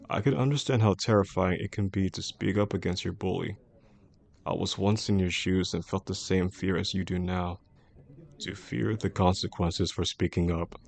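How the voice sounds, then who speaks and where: A man playing a composed, caring CEO boss with a secretly obsessive streak in an intimate audio roleplay, speaking in a measured, formal, reassuring way. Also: deep voice, darkish tone. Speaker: voice actor in a roleplay audio drama. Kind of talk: intimate scripted roleplay audio addressed to the listener.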